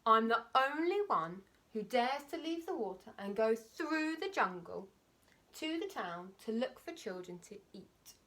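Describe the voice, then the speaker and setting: A woman's voice: fairly high pitch, very animated, measured pace, fairly bright tone, pitched above the performer's own voice. A woman voicing an enormous, greedy, boastful male crocodile in a children's story, drawing words out with relish and sly whispers.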